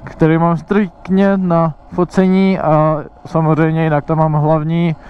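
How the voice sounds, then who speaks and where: A young Czech man talks to his helmet camera while riding, his voice a little high and smooth. He laughs often and swears casually. The sound is dull and lo-fi, recorded outdoors on the move. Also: level tone. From Czech Republic. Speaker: motovlogger. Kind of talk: casual helmet-cam motovlog chat. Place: outdoors riding an electric motorbike.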